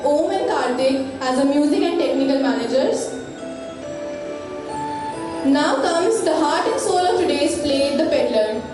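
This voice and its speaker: A woman's voice: high-pitched, expressive, a little slow, long pauses. A young female student narrator giving a formal presentation from a stage. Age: young, school student. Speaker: student narrator introducing the cast. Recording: very echoey stage, music behind.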